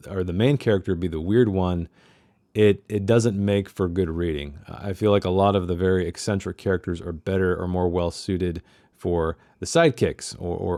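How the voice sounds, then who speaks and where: A man's voice: deep voice, darkish tone, no room sound, clean studio sound. A man hosting a book club in a chatty, self-deprecating way, casually discussing passages he reads aloud.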